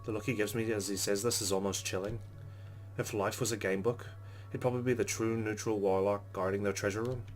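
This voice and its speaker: A man's voice: fairly low pitch, steady tone, a little fast, soft background music. A man hosting a let's play, reading a game's narration aloud and adding his own commentary.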